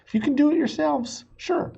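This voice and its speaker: A man's voice: very high voice, normal speed, creaky, warm tone, muffled recording, voice pushed up. A man voicing a dismissive, brusque adult landlord from a children's storybook. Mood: grudging.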